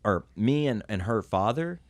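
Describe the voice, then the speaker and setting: A man's voice: on the low side, lively intonation, normal speed, dry recording. A man in his late thirties chatting casually as a podcast host, in a joking, digressive and sweary way. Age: late 30s.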